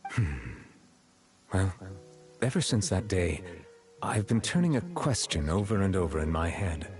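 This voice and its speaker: A solemn chief justice for a video game. The voice is very deep, grave and formal. The delivery is measured and reserved, with faint music underneath. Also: male voice, very animated. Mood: solemn reflection.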